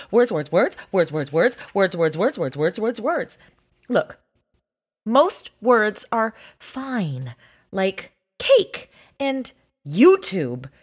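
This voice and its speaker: A woman's voice: high voice, sharp tone, heavily acted. A woman voicing a grumpy, exasperated child who hates reading, melodramatic and complaining, in a storybook read-aloud. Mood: indignant. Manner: melodramatic, complaining, drawn-out emphasis.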